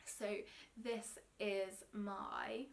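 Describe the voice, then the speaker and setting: A woman's voice: medium pitch, animated, very slow, vocal fry, a little hiss or hum. A young woman with a cold, a slightly stuffy nose, chatting casually and ramblingly about knitting as a podcast host. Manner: chatty and rambling, many ums, gushing. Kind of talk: casual chat to camera.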